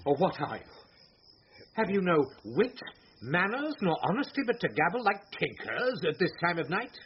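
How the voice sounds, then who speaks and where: Officious, scolding steward for radio Shakespeare; highish, widely swinging delivery, slightly muffled. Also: male voice, sing-song, moderate pace, background noise. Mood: outraged. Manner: indignant, pompous, precisely enunciated. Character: pompous, scolding, puritanical steward of a noble household. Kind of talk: classic radio Shakespeare acting.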